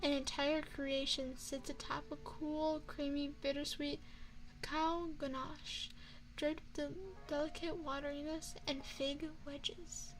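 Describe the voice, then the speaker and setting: A woman playing an elegant, flirtatious vampire chef in an ASMR roleplay, speaking formally and courteously with deliberate, teasing phrasing into a close microphone. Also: high voice, flat delivery, rough recording. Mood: attentive, teasing. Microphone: close binaural microphone.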